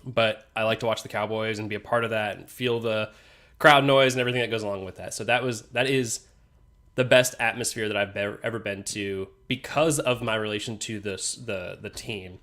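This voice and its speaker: A man's voice: fairly low pitch, fairly even intonation, fairly quick, a bit bright. A young man with a stuffy, congested voice, co-hosting a podcast in a casual, rambling chat that is full of "like.". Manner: laid-back, rambling, says "like" a lot. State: congested from a recent sinus infection. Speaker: podcast co-host.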